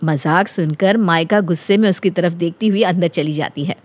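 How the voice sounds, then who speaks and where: A woman's voice: fairly low pitch, normal speed, no echo. A woman narrating a scripted story as its storyteller.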